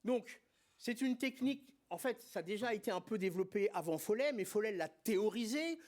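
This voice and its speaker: A man with a notably high voice delivers a conference lecture on surgery. He speaks didactically and with emphasis, stumbling now and then over fillers and restarts. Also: expressive, brisk, darkish tone. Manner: didactic, emphatic, frequent hesitations and filler words. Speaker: spine surgeon presenting at a congress.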